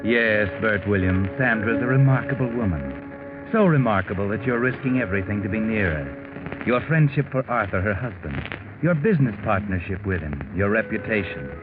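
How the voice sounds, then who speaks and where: A man's voice: fairly low pitch, lively intonation, moderate pace, narrow, tinny audio, lightly acted. An actor playing a knowing, mocking narrator in 1940s radio mystery style, insinuating as he addresses a guilty man. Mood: sardonic, ominous. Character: sardonic, knowing, all-seeing mystery narrator addressing the culprit. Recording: band-limited 1940s radio with music behind.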